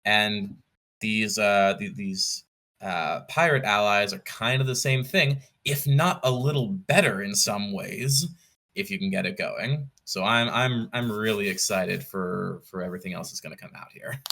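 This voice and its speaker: A man with a fairly low but forward, bright voice talks as a podcast guest. He explains things casually, restarting phrases and correcting himself, with an enthusiast's eye for detail. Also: measured pace, creaky. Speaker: podcast guest, competitive card game player. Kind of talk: casual interview storytelling.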